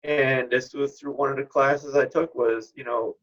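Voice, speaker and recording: Interview guest, male, middle-aged. Husky and creaky, reflective, conversational delivery. Slightly muffled, low-fidelity recording. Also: steady tone, normal speed, a bit bright. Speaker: guest, spirit medium. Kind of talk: informal interview talk.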